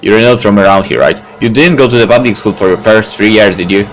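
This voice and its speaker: Cynical, questioning teen boy for a visual novel, read by a man, lowish voice, music behind. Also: lively intonation, fast talker, fairly bright tone, low-quality recording. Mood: curious. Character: cynical, probing teenage boy who smokes.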